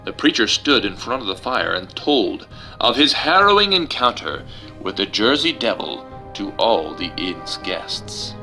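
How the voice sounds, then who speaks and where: A man's voice: on the low side, big pitch swings, measured pace, pushed and bright. A man giving a dramatic, theatrical and emphatic reading of a children's story, with music behind him. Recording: music under the voice.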